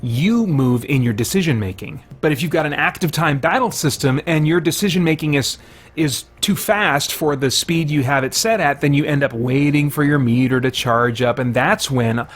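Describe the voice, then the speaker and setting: A man's voice: on the high side, moderate pace. A man giving casual first-impressions commentary on a video game, conversational and thinking aloud as he goes.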